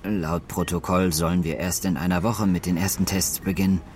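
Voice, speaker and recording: A man's voice: low-pitched, level tone, a little fast, a little hiss or hum, natural voice. An actor playing a cautious male astronaut engineer who speaks in a hesitant, careful way.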